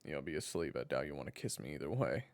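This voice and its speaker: A man playing a weary, haunted assassin and new father in an audio roleplay, with a deep gravelly voice and a dry, halting, confessional delivery. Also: sing-song, full character voice. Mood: drained. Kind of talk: first-person audio roleplay narration. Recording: echoey, long reverb.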